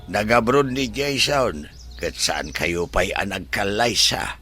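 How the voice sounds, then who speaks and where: A man's voice: on the low side, takes it slowly, pushed and bright. An actor with an Ilocano accent playing a blunt, nagging father in a radio drama, with music underneath. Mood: scolding.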